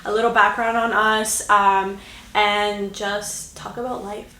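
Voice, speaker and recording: This is a woman in her late twenties, from Greece, chatting casually on a podcast she co-hosts. She talks loosely, with many likes and ums. Her voice sits at a middle pitch and bounces around an echoey room. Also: flat delivery, slow, sharp tone. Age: 20s. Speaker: podcast co-host. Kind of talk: casual chat. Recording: roomy echo.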